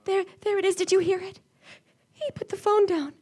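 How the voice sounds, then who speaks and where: A woman's voice: very high voice, steady tone, moderate pace, a little mellow. A woman playing a nervous, demanding invalid wife, stammering and pleading in a 1940s radio suspense drama. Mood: desperate panic. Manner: agitated, stammering, imperious, pleading.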